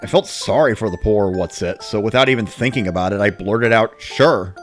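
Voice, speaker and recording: A man's voice: fairly low pitch, brisk, soft background music. A man giving a scripted first-person narration, like a diary of playing a video game. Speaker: narrator of a dramatic reading.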